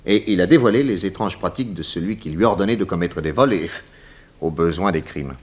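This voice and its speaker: An actor playing a confident detective boss in a 1960s French radio serial, explaining in an emphatic, didactic, theatrical way with dramatic pauses. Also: male voice, deep voice, natural voice. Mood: dramatic, explanatory. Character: confident male detective boss explaining crimes to his assistant.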